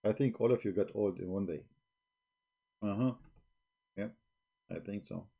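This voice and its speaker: A man's voice: fairly low pitch, measured pace, vocal fry, warm tone, slightly rough. A man hosting a live stream, challenging and blunt, speaking broken English into a desk microphone. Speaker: livestream host. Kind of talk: argumentative live-stream talk.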